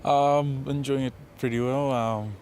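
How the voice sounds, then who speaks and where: A man in his twenties with a Fijian accent answers interview questions as a rugby player, in a casual, modest and halting way. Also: medium pitch, fairly even intonation, a little mellow, slight background noise. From Fiji. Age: 20s. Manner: hesitant, modest, halting delivery.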